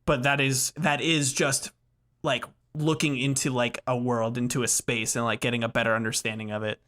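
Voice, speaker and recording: A man's voice: medium pitch, little pitch movement, normal speed, fairly bright tone. A man hosting a casual gaming podcast, chatting in a jokey, loose way. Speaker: podcast host. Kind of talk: casual podcast chat about video games.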